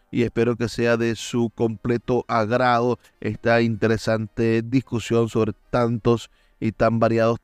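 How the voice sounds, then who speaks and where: A man's voice: medium pitch, darkish tone, smooth, dry recording, clean studio sound. A man with a Venezuelan accent hosting a radio show in a courteous, effusive presenting style. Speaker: radio host.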